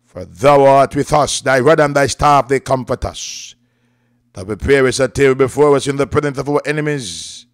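A man's voice: medium pitch, normal speed, no room sound, clean studio sound. A man preaching in fervent Pentecostal prayer, declaiming in rhythmic, repeated phrases and reciting scripture.

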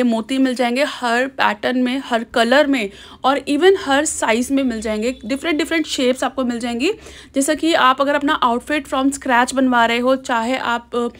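Young woman, high voice, chatty market vlogger, handheld camera outdoors. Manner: chatty, keeps asking "ठीक है". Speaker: shopping vlogger. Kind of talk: market walkthrough vlog.

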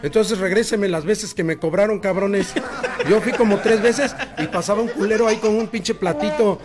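A man with a Mexican Spanish accent hosting a live night show, chatting and teasing his co-hosts in quick banter. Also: sits high, music in the background. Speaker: host. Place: small decorated studio with colored lights. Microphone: desk microphone on a boom arm.

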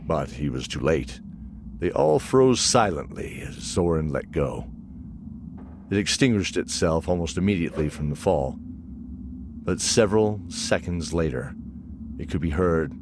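A man's voice: very deep voice, noisy background, exaggerated voice. A man narrating a scripted fantasy audio drama as its storyteller.

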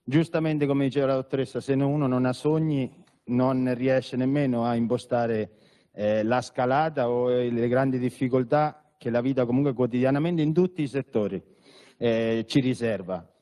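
A man, an entrepreneur, giving earnest remarks at a press conference through a handheld microphone, pausing often to hesitate. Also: medium pitch, fairly even intonation, warm tone. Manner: earnest, with frequent hesitation sounds. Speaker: entrepreneur and sponsor. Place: large conference hall with an audience.

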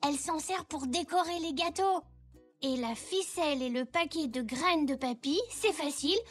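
A little zebra boy in a kids cartoon, super high voice, eager and cheery, bit of music under. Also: normal speed, a bit bright. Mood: cheerful. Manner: eager, childlike. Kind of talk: French children's cartoon dialogue.

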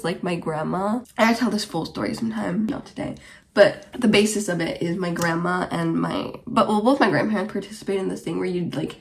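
Young woman, from germany, creaky vocal fry, chatty and rambling, podcast host. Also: medium pitch. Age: about 20. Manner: rambling, chatty, tangent-prone. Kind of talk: casual chat to camera. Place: small bedroom, indoors.

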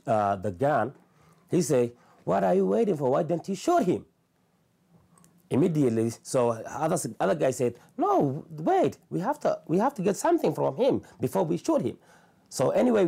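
Somali accented guy, dark gravelly voice, plain halting storytelling in a small room. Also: medium pitch. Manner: halting, plain and earnest, with many restarts. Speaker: interviewee. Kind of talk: personal testimony. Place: small living room, indoors. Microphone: lapel.

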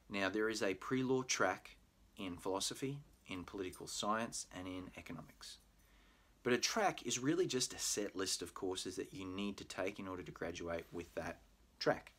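A middle-aged Australian man with a very low voice talks prospective students through a university program. He explains things in a friendly, patient way, dropping in plenty of "uh"s. He is recorded on a webcam in a small room at home. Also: normal speed, fairly bright tone. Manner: friendly, explanatory, with frequent "uh" fillers. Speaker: pre-law program manager. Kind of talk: recorded admissions presentation. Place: home room with bookshelf, indoors.

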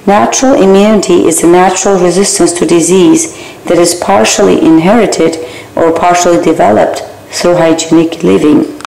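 Low warm lady reading microbiology slides, steady pace, little slips, kinda gravelly. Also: moderate pace, roomy sound, low-quality recording. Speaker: barbering theory instructor. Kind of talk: reading exam slides aloud.

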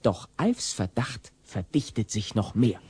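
A man's voice: fairly low pitch, sing-song, a little slow, heavy background noise. A man narrating a scripted audio play as its storyteller.

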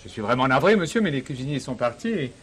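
An actor playing a fussy, apologetic restaurant waiter in a detective radio play, speaking formally. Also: male voice, medium pitch, big pitch swings, fast talker, a little hiss or hum, close to an everyday voice. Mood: apologetic, reluctant.

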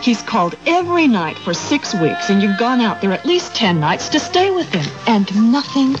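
Radio drama: firm, practical wife, slightly high insistent voice on a slightly muffled recording. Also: female voice, big pitch swings, moderate pace. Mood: exasperated. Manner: insistent, matter-of-fact. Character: exasperated, practical wife, firm but concerned. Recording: dull sound, music behind.

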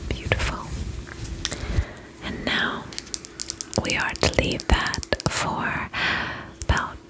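A woman playing a soothing, attentive vampire spa beautician in an ASMR roleplay, whispering gently and reassuringly in a breathy voice. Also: natural voice, rough recording. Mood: soothing, tender. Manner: gentle, reassuring, slow and unhurried.

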